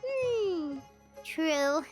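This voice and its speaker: A tiny squeaky girl companion. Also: expressive, drawn-out words, faint music behind, full character voice. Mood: curious. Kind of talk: video game voice acting.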